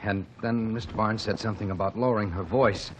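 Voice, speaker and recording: A man playing a hesitant assistant stage manager testifying in a 1949 radio drama, plain-spoken and halting. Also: on the low side, fairly even intonation, slight background noise, natural voice, low-quality recording. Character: Tom Kalish, nervous young assistant stage manager. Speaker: witness. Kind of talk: 1940s radio drama acting.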